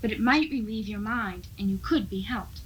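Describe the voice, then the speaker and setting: An actress playing a gentle, soothing woman visiting a prisoner in a 1970s radio drama. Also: mid-range voice, moderate pace, natural voice, poor audio. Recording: 1970s radio recording, faint noise.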